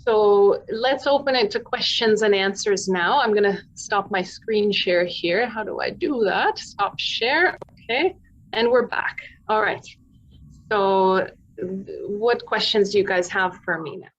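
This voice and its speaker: A woman's voice: medium pitch, measured pace, highs cut off. A woman from Poland giving an informal online presentation and answering questions, talking in a chatty, explanatory way as a singer and vocal coach. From born in Poland. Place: online video meeting.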